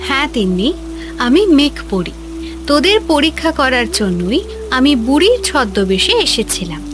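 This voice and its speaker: A woman playing a gentle, benevolent cloud fairy in an animated fairy tale, speaking reassuringly. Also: sits high, moderate pace, music in the background. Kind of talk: Bengali animated moral story voice acting.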